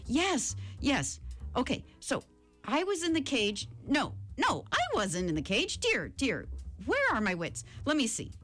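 Woman putting on a high-pitched, dithering jackal. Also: very animated, measured pace, fairly bright tone, soft background music, heavily acted. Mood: flustered, muddled. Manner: stammering, muddled, wailing with repeated words. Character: cunning jackal feigning dim-witted, fretful, grovelling servility.

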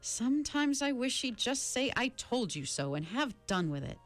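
A woman voicing a kindly, brisk, otherworldly old lady in a storybook, soothing and quaintly polite. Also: on the high side, sing-song, faint music behind, voice pushed up. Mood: wry. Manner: murmuring, quick reassurance, quaint politeness.

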